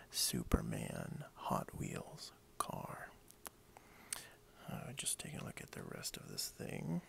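A man speaking softly in a breathy half-whisper, hushed and friendly, role-playing a toy shop owner for ASMR. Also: lowish voice. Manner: hushed, unhurried, friendly, hesitant phrasing. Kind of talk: soft-spoken ASMR role play. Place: indoors at a wooden desk.

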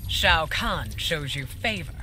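Casting: a cold, commanding game sorceress queen with animated pitch, heard through loud ambience. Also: heavy background noise. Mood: contemptuous. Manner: haughty, commanding, deliberate. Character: imperious, scheming queen and sorceress, coldly regal. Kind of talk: video game fighter intro dialogue.

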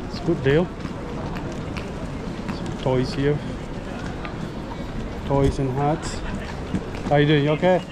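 A man's voice: fairly high pitch, fairly even intonation, takes it slowly, long pauses. A man, a travel vlogger, chatting casually to viewers as he walks through a busy city street. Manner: chatty, talks to viewers while walking. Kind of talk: casual walking commentary. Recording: loud crowd and street noise. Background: crowd chatter and traffic.

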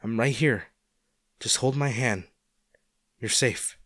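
A man's voice: medium pitch, slow, fairly bright tone, dry recording. Man acting a caring, worried boyfriend, close and dry. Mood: tender reassurance. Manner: tender, coaxing, hesitant, speaking directly to the listener. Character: caring, worried boyfriend nursing an injured partner. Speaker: audio roleplay voice actor. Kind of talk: intimate audio roleplay acting.

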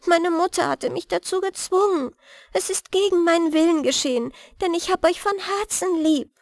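A woman's voice: extremely high pitch, moderate pace, no room sound, studio quality, pitched above the performer's own voice. A woman voicing a remorseful, lovestruck young maiden in a storybook, pleading tenderly.

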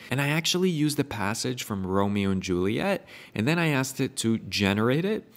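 A man in his thirties hosting a software tutorial, upbeat and eager as he explains each step. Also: mid-range voice. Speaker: tutorial host and AI consultant. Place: indoor room in front of a painted brick wall.

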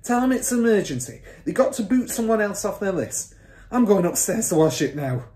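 A woman voicing a vain, blustering father from a children's story, yelling in an indignant, flustered way. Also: mid-range voice, expressive, a little fast, echoey room, voice pushed up, close to an everyday voice. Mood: frantic bossy. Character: blustering, loud, panicky, vain father, a car salesman.

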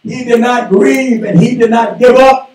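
A man preaching a sermon from a church pulpit, slowly working through his points and dropping "amen" after almost every phrase. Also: very high-pitched, darkish tone. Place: small church sanctuary, indoors. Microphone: pulpit mic, filmed by a far camera. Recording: echoey room from far off.

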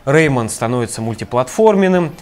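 A man's voice: medium pitch, expressive, fairly quick, darkish tone. Nasal young man reading game news. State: nasal from a cold. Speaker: news presenter. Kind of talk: casual news rundown. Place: small studio.

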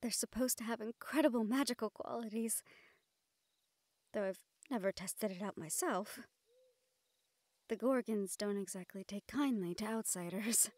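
A woman playing a gentle, playfully reproving fantasy sweetheart in a romantic audio roleplay, speaking tenderly in formal phrases. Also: mid-range voice, normal speed, long pauses, no room sound, lightly acted. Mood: soft, earnest. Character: gentle, playfully scolding fantasy woman courting a dragon general.

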